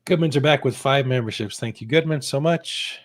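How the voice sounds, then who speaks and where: A man's voice: medium pitch, a little fast. Upbeat host man, under the weather. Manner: upbeat, welcoming, reads out viewer names. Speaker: host reading super chats. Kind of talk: casual live-stream chat.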